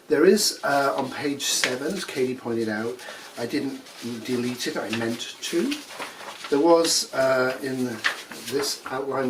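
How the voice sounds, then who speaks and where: A man's voice: medium pitch, recorded from a distance, poor audio. Fifties male instructor, blunt and dry, sarcastic asides, a bit off-mic with faint noise behind. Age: 50s. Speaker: nursing instructor. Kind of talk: recorded course announcement.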